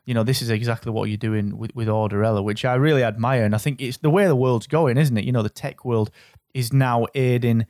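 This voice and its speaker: A man's voice: medium pitch, steady tone, a little fast, a little mellow, dry recording, clean studio sound. A man hosting a podcast, encouraging his guest in an upbeat way. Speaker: podcast host. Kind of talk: podcast interview.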